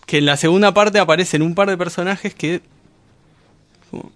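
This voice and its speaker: An Argentine man in a casual radio discussion, explaining things in a halting way, correcting himself and often checking that he is followed. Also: sits high, no room sound. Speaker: guest discussing comics and manga.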